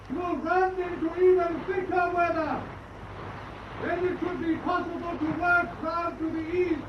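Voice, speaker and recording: An actor playing a brisk, authoritative U-boat commander hailing across the water in a radio drama. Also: male voice, extremely high pitch. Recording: muffled, echoing, distant shouts with faint noise.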